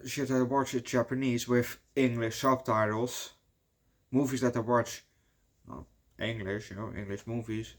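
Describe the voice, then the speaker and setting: A Dutch-accented man talks through a movie review in a loose, rambling way. He swears freely and fills his sentences with "you know" as he wanders off topic and back. His voice sits at an ordinary middle pitch. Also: steady tone, a little slow. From Netherlands.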